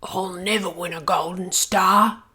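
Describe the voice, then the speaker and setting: A woman voicing a clumsy, eager young male dragon, speaking lower and quieter. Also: steady tone, a little slow, slightly rough, exaggerated voice. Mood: dejected.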